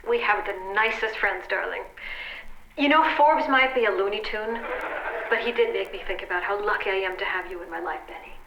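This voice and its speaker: A woman playing a sweet, teasing detective's wife with affectionate, gently theatrical delivery in an old-time radio drama. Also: medium pitch, a little fast, lightly acted. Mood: fond. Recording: echoey, dull recording with clear noise.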